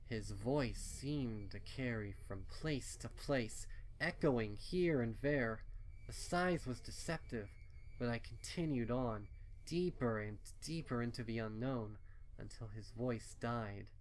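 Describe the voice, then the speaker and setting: A man reading a dark horror story in the first person, solemn and dramatic, as scripted narration. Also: medium pitch, slow pace, slight background noise, rough recording. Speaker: fan fiction reader.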